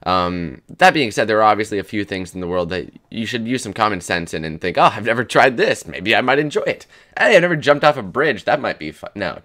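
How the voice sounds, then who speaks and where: A hoarse young man in his twenties rambling crudely and jokily as the host of a gaming channel. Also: lowish voice, fairly bright tone. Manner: rambling, crude, jokey, lots of "dude" and "man". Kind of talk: casual rambling commentary over gameplay.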